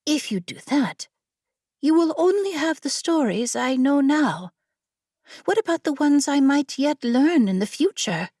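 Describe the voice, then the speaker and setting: A woman plays a clever female scholar from a fantasy storybook, earnest and persuasive as she bargains. Her voice is fairly high and swoops with eager expression, in a dry, close recording. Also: animated, measured pace, darkish tone, no echo. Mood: bold, reasoning. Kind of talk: fantasy audiobook character acting.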